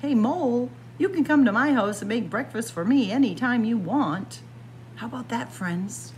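A woman reading a picture book aloud to young children in an expressive manner, addressing them directly as friends. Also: a little high, moderate pace, a little hiss or hum. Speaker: library story time reader. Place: indoors in a library, by bookshelves.

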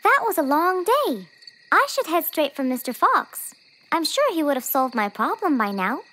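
A woman voicing a small, sweet, grateful animal character in an animated children's story, polite and effusive. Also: high voice, moderate pace, full character voice. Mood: hopeful. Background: music.

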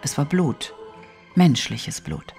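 A man narrating a detective audio play in a measured, literary, suspenseful way. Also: a little high, lively intonation, vocal fry, a little mellow, soft background music, exaggerated voice. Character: storytelling narrator of a mystery.

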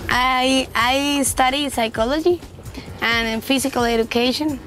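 A woman's voice: sits high, fairly even intonation, fairly bright tone, soft background music, rough recording. A young woman from Costa Rica, a native Spanish speaker, answering interview questions hesitantly on a boat. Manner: hesitant, halting. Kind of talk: casual chat. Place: outdoors on a boat.

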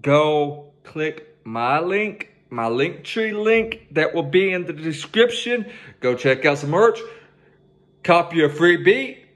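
A man's voice: a little high, lively intonation, takes it slowly, fairly bright tone. A young man hosting a music reaction video from his living room on a headset mic, casual and swearing freely as he hypes up the song. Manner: laid-back, swears freely, hypes up the track. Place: living room at home, indoors.

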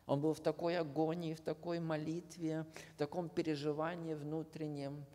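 A man preaching a sermon, slow and earnest, often dropping to a hushed near-whisper at the ends of phrases. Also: fairly high pitch, flat delivery. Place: church hall. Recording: long hall reverb.

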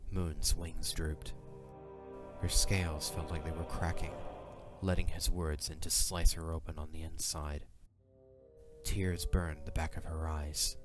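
A man with a deep, low voice narrates a fantasy audio drama. His delivery is scripted, dramatic storytelling. Faint music plays beneath him. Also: level tone, unhurried.

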